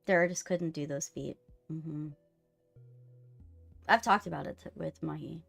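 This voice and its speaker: A woman's voice: sits low, a little slow, vocal fry, a bit bright, breathy edge, faint music behind. A woman chatting casually on a livestream, with a playful, teasing manner. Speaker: streamer hosting a co-op visual novel playthrough.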